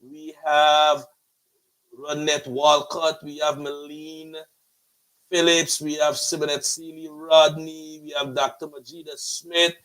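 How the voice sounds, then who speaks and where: A man's voice: a little high, little pitch movement, slow, a bit bright, rough recording. A man with a Guyanese accent hosting a motivational show like a preacher, with emphatic, flowery, repetitive rhetoric. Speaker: host and pastor of a motivational online show.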